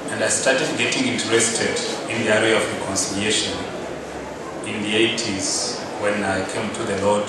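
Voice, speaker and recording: A man in his fifties from Rwanda, speaking slowly and with many pauses as he gives a personal testimony at a press briefing. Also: fairly low pitch, steady tone. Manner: measured, pausing with frequent "uh". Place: press conference room. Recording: very echoey room, mic far off, loud background noise.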